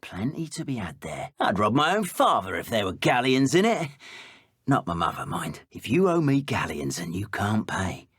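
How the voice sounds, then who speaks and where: A greedy, menacing British dark wizard from a fantasy game. His voice is husky and swings widely in pitch as he sneers and boasts, recorded very dry and close. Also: big pitch swings, normal speed, no room sound, full character voice. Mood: boastful, sly. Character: callous, greedy male dark wizard poacher, gloating and menacing. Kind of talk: video game enemy voice lines.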